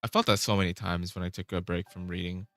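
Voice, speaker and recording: A man's voice: deep voice, flat delivery, quick pace, fairly bright tone, faint music behind. A man chatting casually on a vlog, rambling and restarting his sentences, swearing now and then. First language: Vietnamese. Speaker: vlogger.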